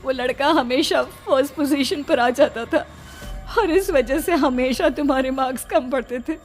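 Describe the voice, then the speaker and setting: Actress as anguished mother, very high pitch, pleading, guilt-ridden, horror cartoon melodrama, faint music underneath. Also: moderate pace, lightly acted. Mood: remorseful. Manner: tearful, pleading.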